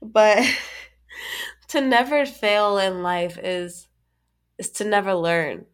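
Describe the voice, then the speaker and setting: A woman co-hosting a casual podcast chat, stuffy from a cold, animated and quick to laugh, often restarting her sentences. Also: mid-range voice, clear, resonant tone. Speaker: podcast co-host.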